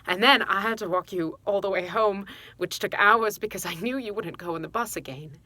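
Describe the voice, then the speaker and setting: Woman performing regretful mother, lowish pitch, quick-tempered, halting confessional delivery, dramatic audition monologue. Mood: exasperated, agitated.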